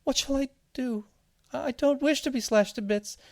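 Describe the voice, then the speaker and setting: A man voicing a small, anxious, naive seed in a thin, squeaky, childlike voice for a children's storybook. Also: moderate pace, creaky, no room sound, studio quality. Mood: whimpering, woeful. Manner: whimpering, excitable, earnest.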